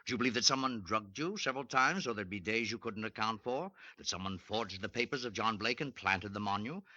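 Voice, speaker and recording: An actor playing a calm, questioning psychiatrist in a 1940s radio drama, speaking in a measured, lecturing way. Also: male voice, lowish voice, no echo. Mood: probing. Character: calm, probing male psychiatrist, middle-aged. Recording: dull lo-fi vintage radio recording.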